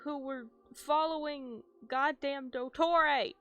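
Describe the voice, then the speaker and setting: A woman livestreamer reacting casually to a game, speaking in a halting, emotional way. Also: high voice, fairly even intonation, a bit bright. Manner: halting, emotional, swears freely. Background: game music.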